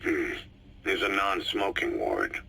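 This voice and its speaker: An extremely deep, hoarse-edged and creaky male voice as a legendary video game soldier. He is gruff and stubborn, middle-aged, and clips his words drily with a world-weary bite. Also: very animated, slow, pushed and bright, exaggerated voice. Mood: wry, defiant. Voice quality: gravelly, raspy. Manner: terse, dry, world-weary. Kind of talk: scripted video game dialogue.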